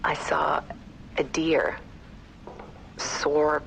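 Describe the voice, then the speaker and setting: Weary, reflective mourner in a game confessional; hushed, pausing, heavy fry. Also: female voice, low voice, level tone, a little hiss or hum, heavily acted, rough recording. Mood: grieving, reflective. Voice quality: whispery, gravelly. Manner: halting, hushed, pausing between words. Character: grieving photographer, reflective, weary and numb. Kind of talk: dramatic confessional monologue.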